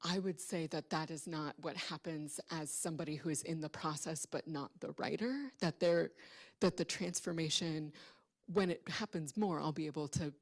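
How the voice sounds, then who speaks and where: A woman giving an unscripted, reflective talk about performance, deliberately searching for her words. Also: low-pitched. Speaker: actor and writer speaking on a panel. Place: public library event before an audience.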